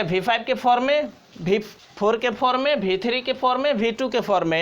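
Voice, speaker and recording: A man's voice: extremely high pitch, a bit bright. A man giving an informal tutorial as a teacher, explaining step by step and repeating key points. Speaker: grammar teacher.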